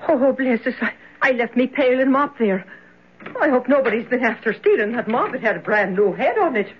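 A woman's voice: a little high, lively intonation, a little fast, a little hiss or hum, natural voice. An actress voicing a chatty, tipsy Irish hospital cleaning woman in an old-time radio drama. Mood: awed, flustered. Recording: dull lo-fi old radio recording.

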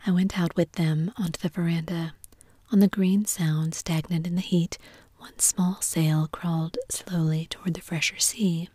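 A woman reads a novel aloud entirely in a whisper, unhurried and soft. Her breathy whisper sits low and mellow, right against the mic. The sound is dry, with no room around it. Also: steady tone, no room sound. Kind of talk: whispered ASMR reading of a novel.

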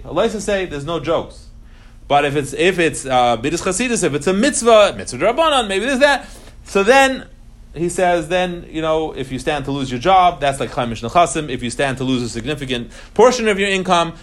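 A man teaching an informal class on religious law, explaining emphatically, repeating key phrases and mixing Hebrew terms into his English. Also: on the high side. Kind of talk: informal lecture to students.

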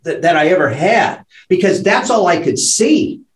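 A man's voice: high voice, very animated, moderate pace, roomy sound. A man in his late sixties co-hosting a podcast, talking candidly with frequent restarts and frank swearing. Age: late 60s. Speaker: podcast co-host. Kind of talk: candid conversational podcast talk.

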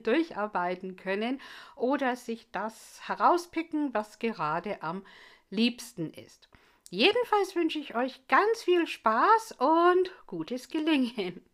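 A woman hosts a podcast lesson in a slow, unhurried delivery. Her voice sits a little high, and her first language is English. She explains things in a friendly way, one step at a time. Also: a bit bright. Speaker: English teacher and podcast host.

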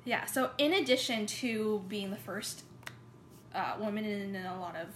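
A woman talks through a presentation in a relaxed, informal way, often pausing and restarting her phrases. She is recorded in an echoey room with faint noise behind. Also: mid-range voice. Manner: hesitant, many fillers and restarts.

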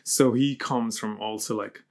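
A man's voice: lowish voice, steady tone, unhurried, a little mellow. A man in his thirties from Lebanon, a university lecturer, chatting as a guest and laughing easily. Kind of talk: casual interview chat.

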